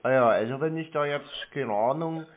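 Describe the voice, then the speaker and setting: A man's voice: mid-range voice, moderate pace, a little hiss or hum. A man talking casually on the phone, asking questions in a hesitant, rambling way. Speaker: prank caller posing as a customer. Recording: muffled narrow-band phone line.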